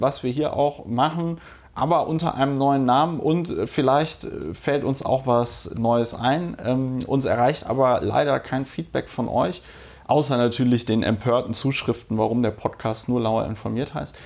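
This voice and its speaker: A man hosting a podcast who has a cold, talking casually with many fillers and pauses, laughing easily. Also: medium pitch, a little mellow. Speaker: podcast host. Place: indoor room with a guest.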